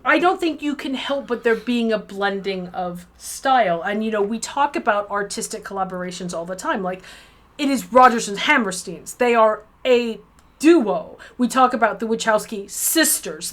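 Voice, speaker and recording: A woman co-hosting a casual livestream conversation over a video call, speaking in an animated, emphatic and bluntly joking manner. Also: medium pitch, moderate pace. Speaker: co-host and editor.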